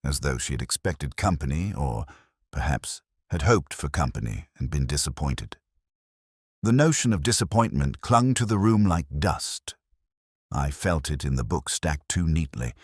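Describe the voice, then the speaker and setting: A man performs a melancholy detective telling his case as scripted mystery narration. The voice is extremely deep yet moves expressively in pitch, close and dry in sound. The phrasing is deliberate, literary and brooding. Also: breathy edge, no echo, heavily acted.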